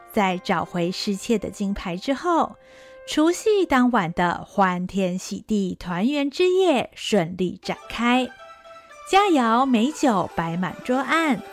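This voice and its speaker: A woman narrating an audio drama story in a gentle, expressive way. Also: mid-range voice.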